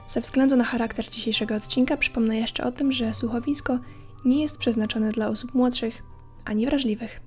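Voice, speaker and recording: A woman narrating a scripted horror story as a podcast host, in a measured, hushed, suspenseful way. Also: fairly high pitch, fairly even intonation. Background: faint music.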